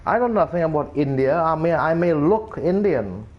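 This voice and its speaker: Streamer, Trinidadian man, higher voice, chatty and rambling, muffled lo-fi sound. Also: moderate pace. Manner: rambling, animated explaining with many restarts. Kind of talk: casual chat while gaming.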